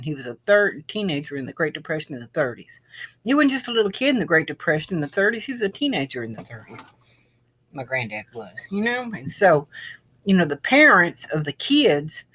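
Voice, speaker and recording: A woman's voice: lowish voice, fairly bright tone, husky. A middle-aged woman giving an informal, rambling explanation to viewers, often circling back to repeat her phrases. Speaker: video creator.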